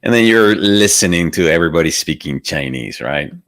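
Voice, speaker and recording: A man's voice: deep voice, expressive, brisk, a bit bright, no echo, studio quality. A man from Venezuela, giving a reflective spiritual talk as a meditation teacher and often asking rhetorical questions. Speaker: meditation teacher hosting a live stream.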